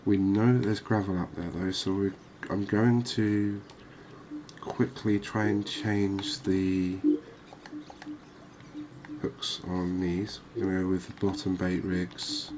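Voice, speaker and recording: Low, even-toned man in quiet game commentary. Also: background noise. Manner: unhurried, thinking aloud. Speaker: gaming video host.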